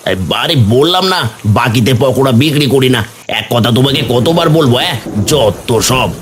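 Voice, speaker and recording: A man playing a rude, stingy village snack seller in a cartoon story, gruff and dismissive as he scolds. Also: mid-range voice, normal speed, noisy background, lightly acted. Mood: scornful. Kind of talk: Bengali cartoon story dubbing.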